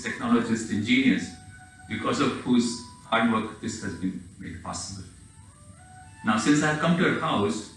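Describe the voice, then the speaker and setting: A man with an Indian accent, whose first language is Hindi, leading a yoga session in measured, reverent, slightly halting phrases. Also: medium pitch. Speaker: yoga teacher. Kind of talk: guided yoga session over video. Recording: very echoey, distant mic, faint music.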